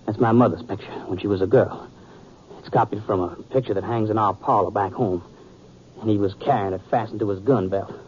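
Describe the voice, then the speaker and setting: A man playing a bitter, proud young frontier sheriff with a fairly low voice and an intense, terse delivery. The sound is a muffled, slightly noisy 1950s radio drama. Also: steady tone, brisk, darkish tone, natural voice. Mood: bitter, stubborn. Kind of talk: 1950s radio western acting.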